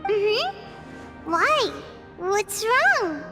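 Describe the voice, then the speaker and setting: A woman playing a small, childlike female deity in an anime-style video game, gentle, wise and earnest, with a girlish voice and polite, measured phrasing. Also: very high voice, big pitch swings, full character voice. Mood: curious. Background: game music.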